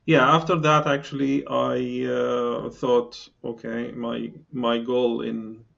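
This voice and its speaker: A man's voice: mid-range voice, little pitch movement, slow, creaky, fairly bright tone, highs cut off. A middle-aged man from Palestine, a guest in a podcast interview, talking with many fillers and restarts. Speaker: engineering guest.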